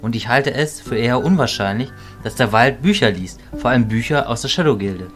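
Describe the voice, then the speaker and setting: A man's voice: mid-range voice, expressive, fairly quick, soft background music, lightly acted. A man as a dry, level-headed illusionist. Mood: dry, measured. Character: level-headed, analytical male illusionist companion. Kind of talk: fantasy audio drama acting.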